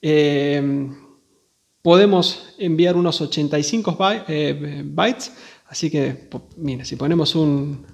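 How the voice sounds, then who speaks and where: A man hosts an informal hands-on tech demo. His voice sits a bit high for a man, with a marked creak running through it. He speaks casually and directly to his viewers. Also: slow, darkish tone. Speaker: video host demonstrating radio gear.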